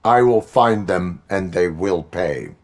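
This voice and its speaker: A man playing a stoic cyborg police officer in a video game, speaking in deliberate, clipped, formal sentences. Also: low voice. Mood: stern, commanding. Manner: deliberate, clipped, formal speech without contractions.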